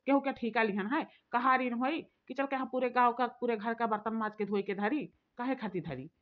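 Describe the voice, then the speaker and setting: A woman voicing a fiery younger sister-in-law, with an Awadhi accent, complaining and sharp-tongued. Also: on the high side, fairly quick, natural voice. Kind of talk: cartoon voice acting. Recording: dull lo-fi recording.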